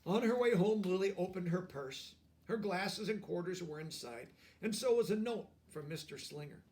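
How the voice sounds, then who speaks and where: A man reading a storybook aloud to young children, expressive, with simple explanatory asides. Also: high voice, moderate pace. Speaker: storybook reader.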